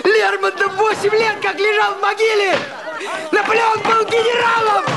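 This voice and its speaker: An actor playing a despairing, bitter man in his thirties who shouts hysterically in a film. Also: very high-pitched, level tone, moderate pace, vocal fry, over loud music, a loud crowd. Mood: raging. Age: 30s. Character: despairing 35-year-old man, bitter and self-loathing.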